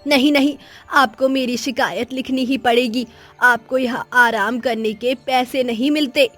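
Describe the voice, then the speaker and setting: A woman's voice: high voice, steady tone, unhurried, fairly bright tone, soft background music. A woman voicing a cranky, scheming old village woman who whines and makes dramatic demands in a children's cartoon. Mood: demanding. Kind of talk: children's cartoon moral story acting.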